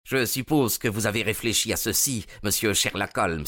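Un homme qui joue, dans une histoire lue, un espion allemand fier, amer et indigné, au débit lent et résolu. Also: male voice, lowish voice, fairly bright tone, close to an everyday voice. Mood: indignant. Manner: slow, resolute, aggrieved. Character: bitter, defeated, indignant German spy, proud man.

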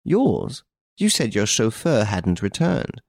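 A man voicing an excitable, easily astonished French gentleman in an audiobook, exclamatory and emphatic. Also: on the low side, slow pace, dry recording, clean studio sound, exaggerated voice. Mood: puzzled.

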